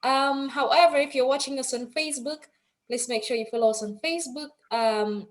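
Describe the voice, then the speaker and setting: A woman's voice: high-pitched, brisk. A woman hosting an online interview show in an effusive, announcer-like manner. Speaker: host, anchor of an online TV show. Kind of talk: presenting and interviewing.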